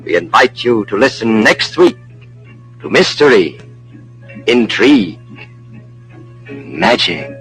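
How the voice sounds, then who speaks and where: A male radio drama announcer with a sharp, forceful voice. He delivers scripted lines formally and emphatically, with faint music behind him on an old broadcast recording. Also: on the low side, big pitch swings, slow pace, pauses often, rough recording. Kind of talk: scripted old-time radio narration and credits.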